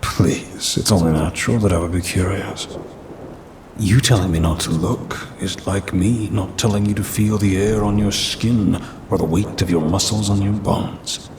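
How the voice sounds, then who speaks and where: A man's voice: deep voice, steady tone, normal speed, background noise, close to an everyday voice. A man voicing a centuries-old demon in an ASMR audio roleplay, formal and pompous, grumbling dryly yet caring. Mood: smug, calm. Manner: formal, pompous, dry complaining.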